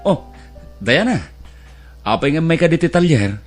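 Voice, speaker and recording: A man's voice: fairly high pitch, very animated, measured pace, music in the background. A man acting an earnest young mechanic who plans to marry, in a dramatised story. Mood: reluctant. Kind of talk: radio-drama style acted dialogue.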